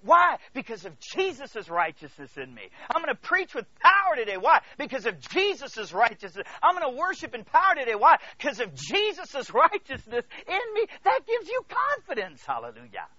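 A male preacher from the Congo with a high, forceful voice that moves widely in pitch. He delivers an emphatic sermon, repeating phrases and checking that listeners follow. The sound is close and dry. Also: huge pitch swings, moderate pace, hardly any pauses, dry recording, lo-fi sound. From Congo, Central Africa. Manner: emphatic, swings between hushed asides and loud declarations. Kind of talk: animated sermon to a congregation.

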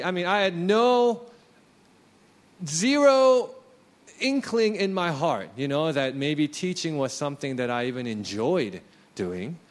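A man in his late thirties, a pastor, preaching a sermon in a conversational, self-deprecating way. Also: on the high side. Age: 30s.

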